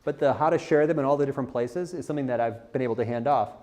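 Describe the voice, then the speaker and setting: A man's voice: medium pitch, racing, darkish tone. A man speaking as a conference presenter in an informal, candid, self-deprecating style, putting questions to his audience. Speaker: conference speaker, content marketer.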